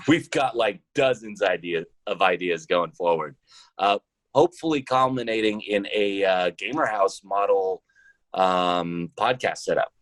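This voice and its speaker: A man with a very low-pitched voice gives casual interview answers as a podcast guest. The sound is close and very dry, with no room around him. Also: a little slow, fairly bright tone, no room sound.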